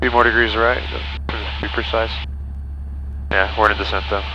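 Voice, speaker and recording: A man's voice: lowish voice, level tone, moderate pace, lo-fi sound, music in the background. A man who is a pilot, thinking aloud in casual, technical cockpit chat over a headset. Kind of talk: casual cockpit chat, working through the approach. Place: small aircraft cockpit in flight. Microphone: aviation headset. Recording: noisy small-plane cockpit intercom.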